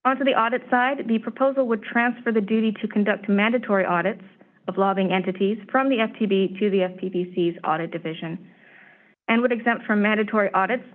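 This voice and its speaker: Woman presenting policy stuff, kinda hoarse, measured and steady, sounds like a phone line. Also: medium pitch. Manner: steady, measured, reads prepared remarks. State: slightly hoarse, clearing throat. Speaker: staff presenter at a commission meeting. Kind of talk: formal briefing on proposed legislation. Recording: tinny phone-line audio.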